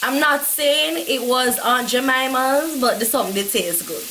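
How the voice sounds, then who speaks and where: A woman's voice: high-pitched, moderate pace, background noise. A woman taste-testing food and speaking candidly in casual chat, often trailing off and restarting. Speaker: taste tester.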